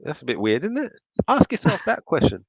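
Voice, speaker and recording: Gravelly man with mocking asides. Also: on the high side, wildly swooping pitch, fairly quick, no echo. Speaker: host reacting to a recorded call. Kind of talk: reaction commentary. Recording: slightly dull lo-fi sound.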